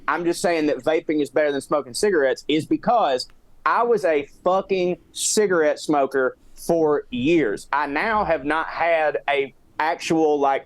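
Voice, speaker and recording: Higher-voiced Southern man, casual and dry-sounding. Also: no room sound. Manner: profane, rambling, self-deprecating. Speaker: podcast co-host. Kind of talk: casual chat, personal anecdotes.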